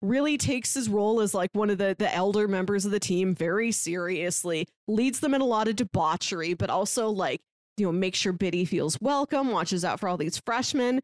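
A woman's voice: mid-range voice, lively intonation, brisk, dry recording. A woman co-hosting a podcast, chatting casually and enthusiastically about a book. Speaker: podcast co-host.

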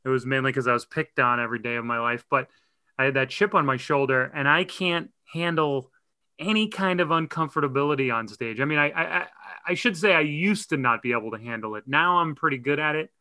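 A man from the Boston area co-hosting a podcast, chatty and quick to laugh. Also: mid-range voice, sharp tone. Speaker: podcast co-host. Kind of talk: casual podcast interview.

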